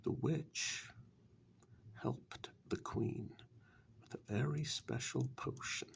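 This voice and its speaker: A man playing a sly, condescending warlock in a soft-spoken ASMR dark fairytale role-play, patronising and deliberate, with long pauses. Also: mid-range voice, a little hiss or hum. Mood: smug, storytelling. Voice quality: soft, breathy half-whisper with gravelly creak.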